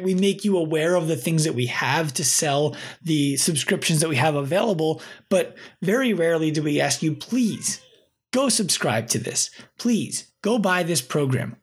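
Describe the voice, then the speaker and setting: A man's voice: fairly high pitch, slightly rough. A man hosting a podcast, talking emphatically and often checking in with "right?". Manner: emphatic, preachy, repeats "right?". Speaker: podcast host. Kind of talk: casual podcast chat.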